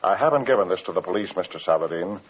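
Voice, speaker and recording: Casting: a flustered airline boss in vintage radio drama; low, husky, halting, businesslike; muffled old recording. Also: male voice, expressive. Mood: troubled, earnest. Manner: hesitant, halting businesslike talk. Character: anxious, flustered male airline executive.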